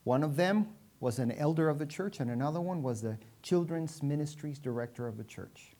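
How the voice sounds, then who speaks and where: Woman pastor from venezuela preaching, low voice, big emphasis n pauses, asks the congregation questions. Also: lively intonation, dark tone. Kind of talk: sermon.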